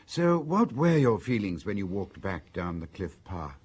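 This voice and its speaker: A man's voice: on the low side, very animated, unhurried, darkish tone, breathy edge, heavily acted. An actor playing a detective's sympathetic, inquisitive male confidant in a radio drama. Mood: curious.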